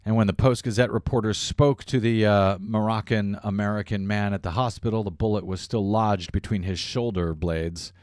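A man's voice: fairly low pitch, steady tone, moderate pace, hardly any pauses, darkish tone, dry recording, clean studio sound. A man hosting a political talk radio show, delivering a monologue in a sardonic tone with frequent fillers. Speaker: radio host.

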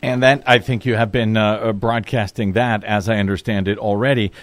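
A man hosting a conversational radio interview, asking questions in a halting way with restarts. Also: fairly low pitch, steady tone. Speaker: radio show host.

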